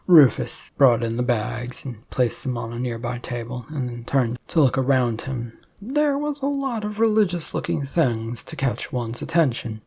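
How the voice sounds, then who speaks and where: Story narrator, mellow, gravelly, scripted comic fiction reading. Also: medium pitch.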